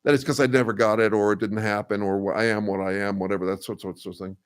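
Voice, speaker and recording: Older man musing aloud, halting. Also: flat delivery, smooth. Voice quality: says he has a bass voice. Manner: thoughtful, halting, full of ums and restarts. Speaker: host answering viewer comments. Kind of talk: casual livestream chat.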